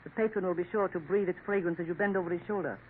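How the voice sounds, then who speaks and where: A woman's voice: lowish voice, racing, lightly acted. An actress in a 1950s radio drama playing a scheming, worldly Parisian café woman who coaxes and drops French endearments. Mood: sly. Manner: coaxing, knowing, sprinkles in French endearments. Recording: tinny old radio broadcast with faint hiss.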